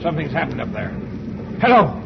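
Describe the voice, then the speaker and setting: A tough, gruff ship's engineer in a vintage radio drama. His raised-pitch voice is full of gravel and used to giving orders. The muffled old recording has heavy noise behind it. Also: sing-song, a little fast, heavily acted. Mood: impatient. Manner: barking, commanding. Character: gruff, hard-driving male ship's engineer, loyal and tough.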